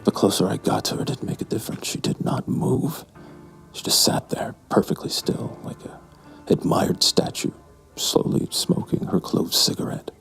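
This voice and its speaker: An actor playing a hard-drinking, lustful barfly in a noir audio drama, with a slow, breathy, brooding delivery. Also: male voice, fairly low pitch, expressive, fairly bright tone, full character voice, poor audio. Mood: brooding, infatuated. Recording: music bed behind the voice.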